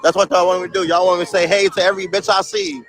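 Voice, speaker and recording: A young man with a high, bright, ringing voice talks loosely to his stream chat, full of slang and swearing. He reads viewer comments aloud and laughs them off while out on a city street, talking into a phone held at arm's length. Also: little pitch movement, very fast, soft background music. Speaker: livestreamer. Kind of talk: casual livestream chat reading viewer comments.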